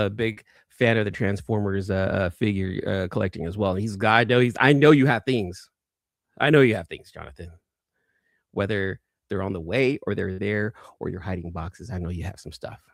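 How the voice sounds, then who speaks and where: A man's voice: medium pitch, no echo, clean studio sound. A man hosting a casual livestream, chatting with viewers in a rambling, conversational way and often repeating his phrases. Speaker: livestream host and toy collector. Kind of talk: casual live chat, reading viewer comments.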